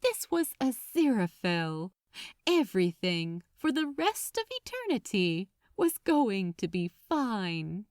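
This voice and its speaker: Lady narrating a story, really expressive, takes her time, dramatic read. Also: mid-range voice, slow, dry recording, clean studio sound. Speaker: audiobook narrator. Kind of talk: dramatic scripted fiction narration.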